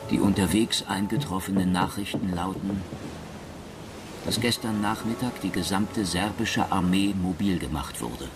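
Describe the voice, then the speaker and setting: An actor playing a dutiful senior German military officer, reading in a sober, measured, resigned way in an audio drama. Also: male voice, low-pitched, music in the background. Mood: matter-of-fact. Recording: clearly noisy ambient background.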